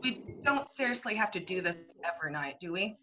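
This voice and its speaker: Sardonic, needling comic stage actor, played by a woman, bright delivery, lo-fi call recording. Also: on the low side, animated, brisk. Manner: sarcastic, needling. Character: cheeky, sardonic male stage actor who heckles his colleagues. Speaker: amateur actor in a remote group reading. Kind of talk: comic stage-play reading. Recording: dull, lo-fi internet call recording.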